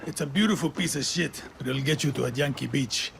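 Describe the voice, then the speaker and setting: An actor playing a wry, rough-talking guerrilla man in a video game. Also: mid-range voice, fairly quick, fairly bright tone, a little hiss or hum. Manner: wry, casual. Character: wry, rough-talking male guerrilla veteran, joking, loyal. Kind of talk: cinematic video game acting.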